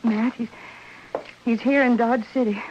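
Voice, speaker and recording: An actress with an American accent playing an anxious, pleading frontier wife in an old-time radio drama, earnest and halting. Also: female voice, on the high side, steady tone, natural voice. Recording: muffled old radio, faint hiss.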